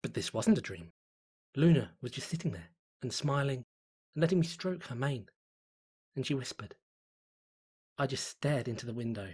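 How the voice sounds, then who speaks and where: A man's voice: mid-range voice, breathy edge, lightly acted. A man playing a fearful, exhausted tourist, brooding uneasily through a diary in a horror story reading. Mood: regretful dread.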